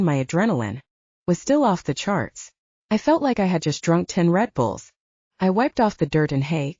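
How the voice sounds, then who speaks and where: A woman's voice: lowish voice, dark tone, dry recording, dull audio. A woman reading scripted audiobook narration with dramatic storytelling delivery.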